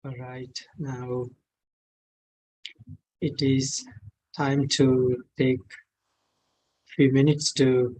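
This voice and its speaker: Male meditation teacher, non-native English, very slow delivery, mid-pitched, gentle phrases with long pauses. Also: flat delivery, poor audio. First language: not English. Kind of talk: guided meditation instruction.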